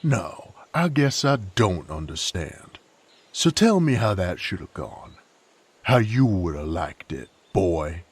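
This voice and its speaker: A man playing a gruff, sardonic, proud knight in an audio roleplay, with a drawl, folksy slang and a husky, gravelly voice. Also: sits low, sing-song, slow pace, full character voice. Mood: angry, defensive. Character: gruff sardonic rough-bred male knight, proud and hot-tempered. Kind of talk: character audio roleplay acting.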